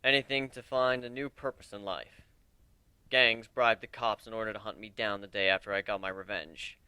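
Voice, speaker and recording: A brooding, world-weary warrior creature, acted out by a man for a story reading. He speaks low and gravely, in terse, deliberate phrases, with a dry close sound. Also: fairly even intonation, sharp tone, no echo.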